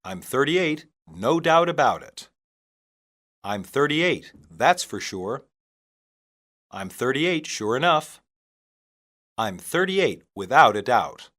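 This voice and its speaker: A man reading scripted English practice phrases for learners, deliberately and in separated phrases. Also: medium pitch, big pitch swings, a bit bright. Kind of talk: scripted language-lesson reading.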